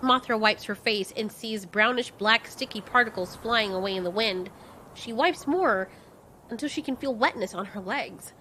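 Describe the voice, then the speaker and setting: A woman narrating a scripted story in an expressive, storytelling style. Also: a little high, moderate pace, slight background noise, low-quality recording.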